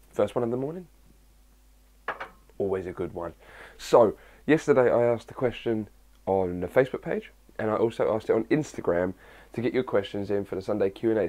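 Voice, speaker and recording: A young man talks loosely to his viewers, his voice a little husky from the early hour. He sits a bit low in pitch and wanders through his answers with plenty of fillers and restarts. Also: darkish tone. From England. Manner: chatty and rambling, with many fillers. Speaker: fitness vlogger answering viewer questions. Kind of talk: casual chat to camera.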